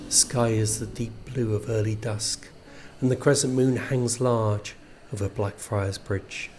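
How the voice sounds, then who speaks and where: A man with a husky voice reading a scripted piece of literary fiction aloud. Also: fairly low pitch, fairly even intonation, measured pace, darkish tone, soft background music. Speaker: reader of a literary extract.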